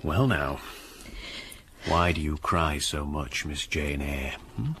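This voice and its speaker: An actor playing a kindly middle-aged apothecary who questions a sick child gently in a radio drama. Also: male voice, rumbling low, expressive, slow, background noise, heavily acted. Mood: gentle.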